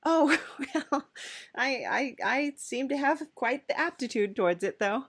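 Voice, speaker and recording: A woman playing an eager, servile devil's apprentice hosting a comedy podcast, gushing, halting and apologetic. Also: high voice, animated, fairly bright tone, husky, heavily acted. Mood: eager, chatty. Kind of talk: improvised character comedy interview.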